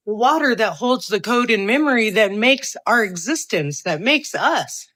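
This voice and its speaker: A woman delivering an earnest opinion monologue in an emphatic, convinced and deliberate manner. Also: a little high, a bit bright, dry recording.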